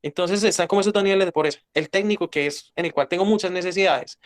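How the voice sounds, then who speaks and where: A man's voice: high voice, quick pace. A young Colombian man with a Paisa accent, speaking as an interview guest in an emphatic, blunt, lecturing way. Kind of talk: casual interview talk.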